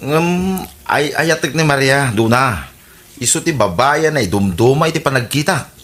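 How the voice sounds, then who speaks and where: A man's voice: medium pitch, lively intonation, normal speed, a bit bright, natural voice. A man with an Ilocano accent playing a sheepish but stubborn young suitor in a radio comedy drama. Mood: lovestruck.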